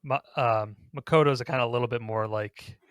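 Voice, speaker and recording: A man's voice: medium pitch, steady tone, moderate pace, fairly bright tone, clean tone, no room sound, studio quality. A man hosting a casual group discussion, talking in a rambling, self-correcting way. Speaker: podcast host.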